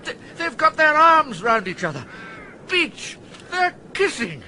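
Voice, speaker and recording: Flustered elderly aristocrat: high, bright, fussy and blustering. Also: male voice, sing-song, slow pace, slight background noise, full character voice.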